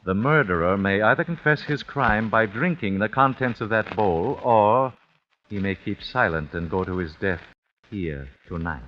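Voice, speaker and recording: An actor playing a cold, composed older father bent on vengeance, speaking in formal, measured phrases in an old-time radio drama. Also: on the low side, natural voice. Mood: grave menace. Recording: muffled, hissy old radio recording.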